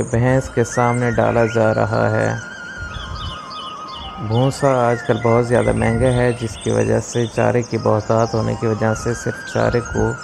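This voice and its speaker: A man calmly narrating his farm vlog in a measured, informative way. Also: medium pitch, gravelly. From Pakistan. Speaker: vlogger. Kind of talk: voice-over narration of a village vlog.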